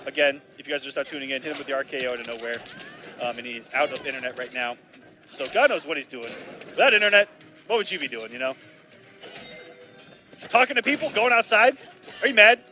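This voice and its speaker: A man doing live play-by-play commentary on a fighting game, excitable and bursting loud on big plays, his voice slightly raspy from long casting. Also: medium pitch, fast, long pauses, soft background music. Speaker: esports commentator.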